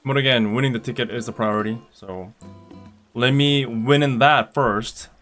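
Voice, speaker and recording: A young man from Indonesia, a streamer, chatting casually and reading comments in a relaxed, rambling way with fillers and restarts. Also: medium pitch, normal speed. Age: young adult. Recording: faint guitar music behind.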